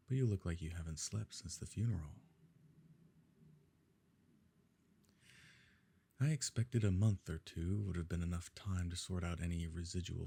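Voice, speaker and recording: A man playing a smug, wealthy evil nobleman in an intimate ASMR roleplay, suave and condescending, with a gravelly, husky voice. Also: sits low, dark tone, full character voice. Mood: mocking, condescending. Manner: suave, condescending, leisurely formal phrasing.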